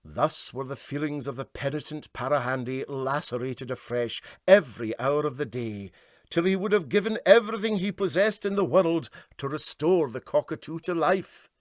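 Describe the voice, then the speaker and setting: A man's voice: a little high, no echo, studio quality. A man with a Scottish accent reading a comic story aloud as narrator.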